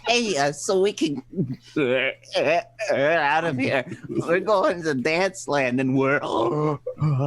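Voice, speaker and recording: A man's voice: on the high side, animated. A man acting a flustered, stammering young pool player in a comedic radio play. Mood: anxious, halting. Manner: halting, stammering, drawn-out delivery.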